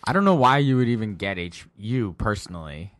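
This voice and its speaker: A deep-voiced man co-hosting a casual podcast, grumpy and needling as he jabs back. His pitch swings widely, and the recording is dry and close. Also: expressive, brisk, dry recording. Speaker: podcast co-host.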